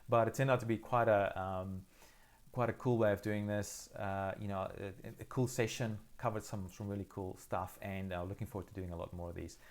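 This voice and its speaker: A middle-aged man from South Africa, whose first language is Afrikaans, hosts a live Q&A straight from his phone. His voice sits somewhat low, and he answers viewer questions in a relaxed, thoughtful way, full of ums and restarts. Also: brisk. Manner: thoughtful, hesitant, many fillers and restarts. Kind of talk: casual live Q&A.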